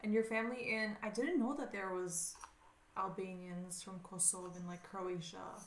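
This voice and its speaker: A woman's voice: medium pitch, steady tone, moderate pace. A woman hosting a casual chat, enthusiastic and upbeat, using lots of "like". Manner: enthusiastic, filler-heavy, upbeat. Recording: roomy echo.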